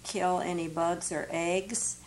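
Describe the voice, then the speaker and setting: A woman's voice: lowish voice, steady tone, slow pace, darkish tone, a little hiss or hum. An older woman on a studio panel sharing practical home cooking tips in a chatty, homely way. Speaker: panel guest. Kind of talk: conversational studio panel chat. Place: TV studio set, indoors.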